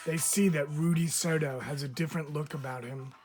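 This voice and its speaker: A man reading a picture book aloud as a teacher would, expressively, with deliberate dramatic phrasing and frequent pauses. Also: a little high, fairly even intonation, noisy background. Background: background music.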